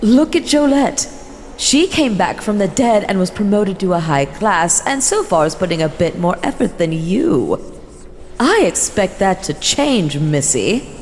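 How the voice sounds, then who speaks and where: A woman's voice: mid-range voice, sing-song, a little slow, darkish tone. A woman voicing an imperious vampire elder who addresses her clan in a formal, haughty, scolding manner in a fantasy role-play drama. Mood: stern disappointment. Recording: long hall reverb, faint noise.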